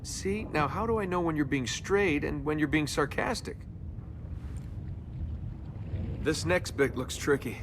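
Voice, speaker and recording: A man's voice: a little high, moderate pace, background noise, lightly acted. An actor playing a cocky, wisecracking young male adventurer in a video game, glib and sarcastic in his banter. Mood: sarcastic, teasing. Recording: constant game ambience behind the voice.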